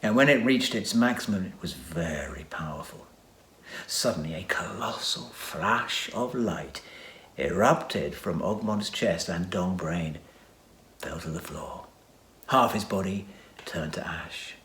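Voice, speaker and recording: Low-voiced man reading a story dramatically. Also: slow. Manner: expressive, dramatic storytelling with hushed asides. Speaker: narrator. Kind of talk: reading a children's story aloud. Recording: echoey room.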